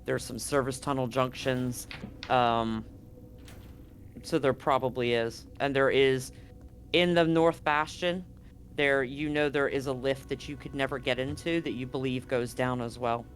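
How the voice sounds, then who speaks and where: A man's voice: mid-range voice, fairly even intonation, a little slow, fairly bright tone. A man running a tabletop horror game as game master, narrating loosely and correcting himself as he goes. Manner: loose, conversational, self-correcting.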